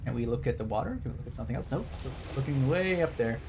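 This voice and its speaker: A man's voice: mid-range voice, animated, very fast, noisy background. A man giving casual livestream game commentary, digressive and wry as he explains trivia. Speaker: game streamer.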